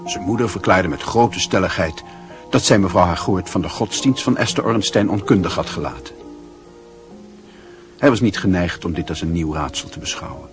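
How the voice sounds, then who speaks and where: An actor narrating a radio play as a measured, literary storyteller. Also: male voice, deep voice.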